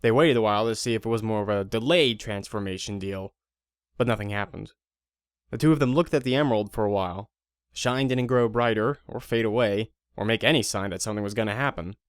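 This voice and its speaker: A man's voice: lowish voice, a little fast, clean tone, no echo. Male story narrator, very dry sound.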